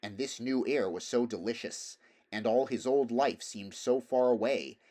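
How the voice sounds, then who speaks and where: A man's voice: mid-range voice, normal speed, a little mellow. A man reading a story aloud as scripted narration, in the role of audiobook reader.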